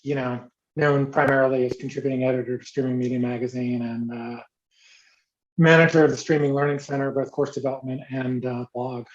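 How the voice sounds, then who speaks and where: A man's voice: medium pitch, little pitch movement, a little fast. A man appearing as a guest on a video call, wry with dry humor. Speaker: guest, magazine editor. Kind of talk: casual video call chat. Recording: dull lo-fi call audio.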